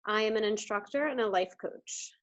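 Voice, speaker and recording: A woman from New Jersey, an instructor and life coach, giving a clear, formal overview in a prepared presentation. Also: mid-range voice, moderate pace, highs cut off. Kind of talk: reading a prepared class presentation.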